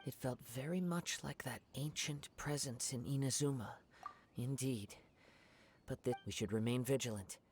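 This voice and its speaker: An actor playing a calm young wandering samurai in a video game, speaking in a measured, formal, reflective way. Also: male voice, medium pitch, slight background noise, lightly acted. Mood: grave, analytical.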